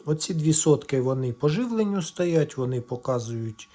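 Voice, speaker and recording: A man casually walking viewers through a hands-on electronics repair, explaining haltingly as he thinks aloud. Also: on the high side, expressive, mellow. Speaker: electronics repair video presenter.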